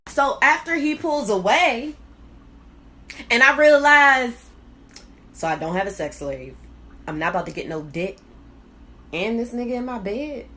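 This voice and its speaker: A woman telling a personal story casually and candidly, blunt and profane, with lots of slang and animated acting-out of her reactions. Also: mid-range voice. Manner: animated, acts out quoted reactions. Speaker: storyteller hosting own vlog.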